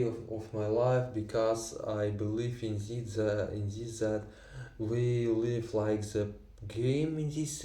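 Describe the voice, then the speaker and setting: A man who speaks Tatar and Russian natively, talking in halting English with many fillers and restarts in a personal vlog. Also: mid-range voice, dark tone, reverberant, recorded from a distance. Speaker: vlogger. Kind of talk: unscripted personal monologue.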